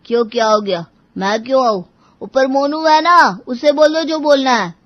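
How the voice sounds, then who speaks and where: A woman voicing a whiny, reluctant young boy who grumbles about errands in an animated moral story. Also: high-pitched, moderate pace, no echo, highs cut off, lightly acted. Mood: impatient, whiny. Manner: grumbling, protesting.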